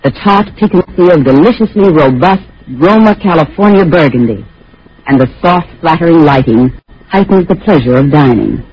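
A man's voice: a little high, animated, normal speed, slight background noise. A man reading a scripted endorsement in a 1940s radio advertisement. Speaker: advertisement speaker. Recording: muffled vintage radio.

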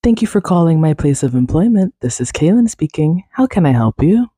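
A woman putting on a friendly customer-service voice to answer a work phone, a little raspy. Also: sits low, big pitch swings, a little fast, dark tone, no room sound, clean studio sound, voice pushed up, heavily acted. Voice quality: slightly raspy. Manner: extra friendly, polished phone greeting.

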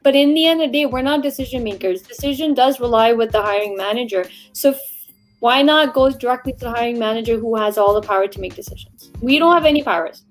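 A woman's voice: on the high side, fast, soft background music. A woman recruiter co-hosting a livestream career discussion, talking in a blunt, emphatic, conversational way. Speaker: recruiter and show co-host.

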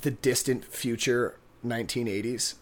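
Laid-back, low-key man joking casually. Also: steady tone, moderate pace. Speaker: podcast host.

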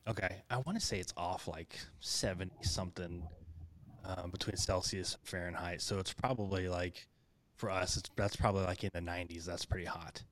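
A man's voice: deep voice, fairly even intonation, normal speed, fairly bright tone. An American man hosting a casual interview, rambling with many fillers and frequent laughs. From United States. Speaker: podcast host.

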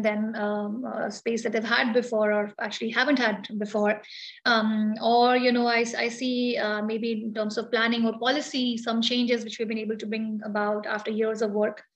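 Woman, interview guest; slightly high voice, hesitant, thinks aloud. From India. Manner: thoughtful, hesitant, many ums and uhs. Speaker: guest, architect and founder of a design collective. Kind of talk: reflective interview answer.

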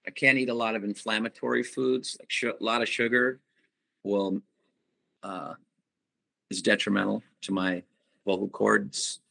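A middle-aged man, a band singer, giving reflective interview answers and pausing often to find his words. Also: lowish voice, little pitch movement, gravelly, bright, forceful tone. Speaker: musician guest, band singer.

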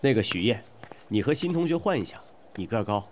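A man plays a brisk high school teacher in an audio drama. He speaks quickly with varied intonation, instructive and matter-of-fact, over faint noise. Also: big pitch swings. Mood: instructing. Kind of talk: modern audio drama acting.

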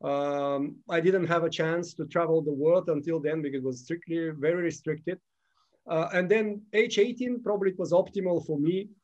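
A man of about fifty from Bulgaria, answering interview questions in halting, phrasing and pausing to find his words. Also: on the high side, steady tone, a little mellow, muffled recording. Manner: halting, non-native phrasing, pauses to search for words. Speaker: guest.

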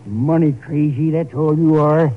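Cheeky rustic old man, Southern drawl, high pitch, wheedling, tinny vintage radio drama sound. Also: fairly even intonation, unhurried. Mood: grumbling. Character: cheeky old small-town moocher, a war veteran. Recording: tinny, band-limited old radio recording.

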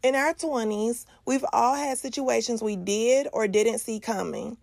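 A woman in her twenties co-hosting a podcast with a steady, clear delivery. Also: fairly high pitch, measured pace, no echo. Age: 20s. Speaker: co-host. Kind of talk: scripted podcast intro.

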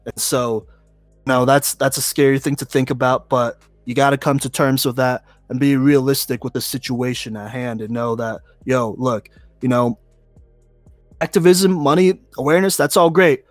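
A man's voice: mid-range voice, fairly even intonation, normal speed, dry recording, faint music behind. A man co-hosting a podcast, talking earnestly about voting and change, with lots of "you know" fillers. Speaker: podcast co-host. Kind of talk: casual opinion talk.

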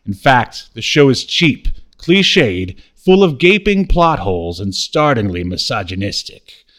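A man's voice: medium pitch, very animated, measured pace, fairly bright tone. A man playing a self-important horror author, reading a scripted synopsis in a pompous, emphatic manner. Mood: grandly pompous. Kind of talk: scripted narration.

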